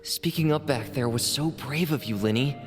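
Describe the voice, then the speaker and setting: An actor playing a gentle, admiring young male companion in a video game. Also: medium pitch, normal speed, lightly acted. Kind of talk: scripted video game voice acting. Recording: long echoing reverb under music.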